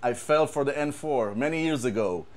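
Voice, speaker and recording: A man with an Israeli accent, a guitar tech, talking casually and haltingly, repeating words and using many fillers. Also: medium pitch, a little mellow. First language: Hebrew. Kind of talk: casual show-and-tell chat.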